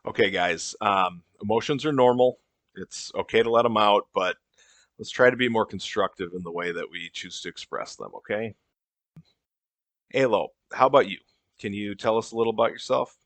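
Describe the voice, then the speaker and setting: Comedy sketch: exasperated male group counselor, played by a man, low register, halting deadpan delivery, patronizing. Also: dry recording. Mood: patient, patronizing. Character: exasperated, deadpan male therapy group leader for game champions.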